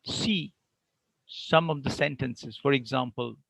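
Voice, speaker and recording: A man lectures at an unhurried, measured pace, breaking his points into short phrases. His voice sits at an ordinary middle pitch. The sound is close and dry, with no room echo. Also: vocal fry, fairly bright tone. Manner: deliberate, explanatory, pausing between phrases. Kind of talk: online academic lecture.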